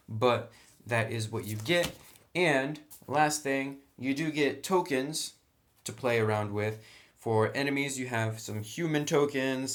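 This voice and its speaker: A man's voice: mid-range voice, fairly bright tone. A man doing a casual unboxing review of a tabletop game, talking plainly and often saying "actually," filmed on a tripod camera indoors. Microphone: camera mic on a tripod.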